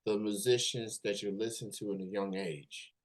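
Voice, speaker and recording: A man's voice: on the low side, fairly even intonation, normal speed. Upbeat male interview host. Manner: upbeat, filler-heavy questions. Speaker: podcast host. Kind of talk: casual interview.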